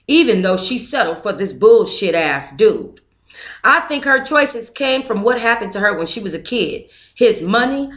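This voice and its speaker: A woman's voice: a little high, sharp tone. A woman hosting a book club reads a memoir aloud in the first person and stops now and then to thank listeners and comment. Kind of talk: reading a memoir aloud with asides.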